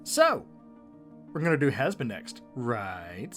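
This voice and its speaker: Casual commentary co-host, enthusiastic, highly varied intonation, music bed behind. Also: very animated, slow. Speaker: video co-host. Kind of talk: conversational video commentary.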